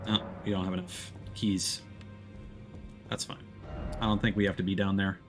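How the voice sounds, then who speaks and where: A male streamer with a fairly low voice gives casual gameplay commentary. He explains and thinks aloud as he plays. Faint music sits behind him. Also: flat delivery, moderate pace. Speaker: game streamer.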